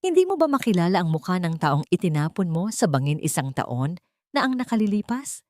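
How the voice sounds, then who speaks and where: A woman voicing a proud, wronged heiress in an audio story, cold, sharp and resolute. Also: on the low side, sing-song, brisk. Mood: cold, confronting.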